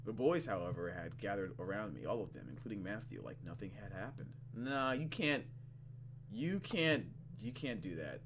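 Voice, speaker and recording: A man's voice: fairly low pitch, lively intonation, moderate pace, noisy background. A man hosting a let's play, casually and expressively commenting and swearing as he reads visual novel text aloud.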